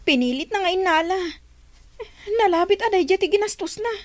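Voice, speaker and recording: Very high-voiced lovestruck young woman. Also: animated, brisk. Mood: troubled, defensive. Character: lovestruck young woman, loyal, trusting and easily hurt. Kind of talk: radio drama acting.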